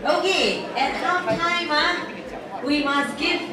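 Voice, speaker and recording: A woman's voice: sits high, takes it slowly. A woman emceeing a live eating challenge in a mall, hyping up the crowd over a handheld mic. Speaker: event emcee. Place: busy shopping mall food court. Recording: echoey mall, far from mic, music and crowd.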